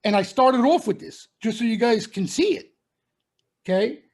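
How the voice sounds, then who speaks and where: A man's voice: very high voice, expressive, normal speed, darkish tone. A middle-aged man talks to his audience of traders in a members-only broadcast. He lectures in a blunt, emphatic way and hammers each point by saying it again. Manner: emphatic, repeats points, lectures and warns listeners. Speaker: stock trading commentator.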